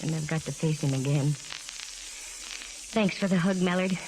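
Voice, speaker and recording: A woman's voice: low voice, moderate pace, low-quality recording. An American actress playing a wisecracking yet tender female private eye in a 1940s radio drama, both acting and narrating. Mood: wry, steeled. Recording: old 1940s radio recording, music behind.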